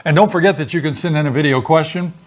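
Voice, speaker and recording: An older man co-hosting a casual chat show from home, folksy and chatty, explaining things at an easy, unhurried pace. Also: fairly high pitch. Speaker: co-host of a retiree channel.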